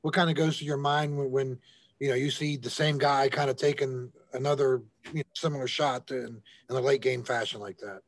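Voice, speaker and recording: A man working as a sports reporter, asking press conference questions in a halting, hesitant way. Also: medium pitch, steady tone, dry recording. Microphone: remote call.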